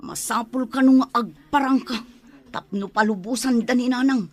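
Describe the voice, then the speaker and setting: Actress as protective, urging woman, Filipino accent, husky, rather high voice, radio drama. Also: normal speed, darkish tone, natural voice. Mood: urgent. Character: concerned, protective woman advising a younger man.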